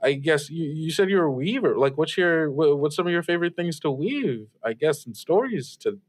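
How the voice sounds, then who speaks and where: A man's voice: a little high, animated, moderate pace. A man playing an earnest, awkward young radio host in improvised audio drama, chatting casually with hesitant, stammering, self-effacing speech. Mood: polite, curious.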